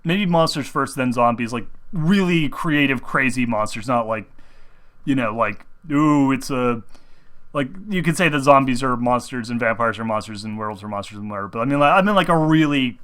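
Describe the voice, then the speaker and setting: A man's voice: mid-range voice, a little fast, fairly bright tone. A young man chatting casually to viewers about horror films and games, rambling with many restarts and fillers while sick. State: sick, talking through discomfort. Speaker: gaming video host.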